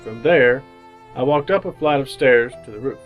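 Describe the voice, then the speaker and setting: An actor playing an awkward, lonely city bachelor in an old-time radio drama, halting and bemused as he talks and narrates. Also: mid-range voice, soft background music, close to an everyday voice. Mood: wistful narration.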